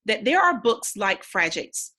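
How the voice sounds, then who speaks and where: Woman reading as a wise, wary old bookish man, husky. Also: medium pitch, very animated, unhurried, bright, forceful tone, heavily acted. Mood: grave, musing.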